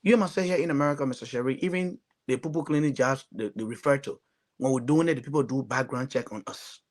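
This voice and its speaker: A man's voice: medium pitch, fast. A man from Liberia with a Liberian accent, giving earnest, emphatic political commentary as a talk show panelist, often repeating phrases.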